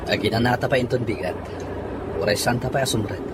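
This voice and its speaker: A man's voice: medium pitch, quick pace, heavy background noise, lightly acted. A Filipino man playing an easygoing, teasing workmate in a casual, bantering audio drama scene.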